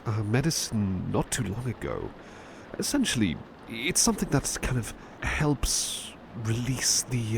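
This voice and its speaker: A man playing a devoted, gentle butler in a soothing ASMR roleplay, hesitant and polite, fussing and repeating himself reassuringly. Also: fairly low pitch, gravelly, noisy background, heavily acted.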